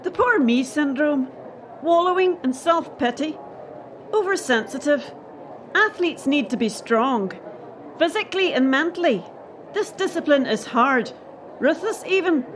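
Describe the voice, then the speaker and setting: A woman's voice: high-pitched, lively intonation, measured pace, slight background noise. A woman acting in a radio play as a dismissive, hardened gymnastics official defending her sport. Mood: dismissive, cold.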